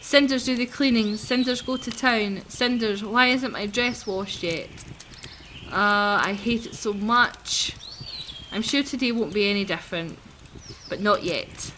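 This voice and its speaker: A woman voicing a wistful, lonely young servant heroine in a fairy-tale game, with a musing, expressive delivery. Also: on the high side, unhurried. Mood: weary, resentful. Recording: noisy, game birdsong behind the voice.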